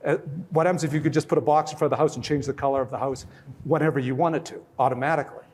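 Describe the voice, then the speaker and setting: Quick, casual panelist who leans on analogies. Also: male voice, medium pitch, steady tone, a little mellow. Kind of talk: conference panel discussion.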